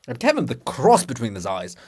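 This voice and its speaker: A man's voice: medium pitch, wildly swooping pitch, fairly quick, darkish tone, husky. A man narrating as reader in a dramatic reading of a play, announcing characters and stage directions.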